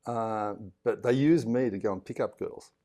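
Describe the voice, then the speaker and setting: A man in his sixties with an Australian accent, a podcast guest telling stories in a casual, animated way. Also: lowish voice, normal speed. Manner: animated storyteller who acts out other people's lines and laughs often. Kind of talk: casual storytelling interview.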